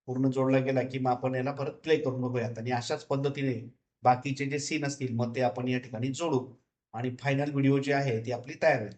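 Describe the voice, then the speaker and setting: A man giving an unscripted, step-by-step software tutorial in a repetitive, instructional way. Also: mid-range voice, steady tone, fairly quick. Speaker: tutorial presenter.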